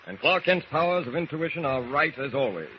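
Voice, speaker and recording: A man's voice: a little high, animated, brisk, lightly acted. A man narrating a 1940s radio serial in a dramatic style. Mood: suspenseful. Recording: muffled old radio recording with faint noise.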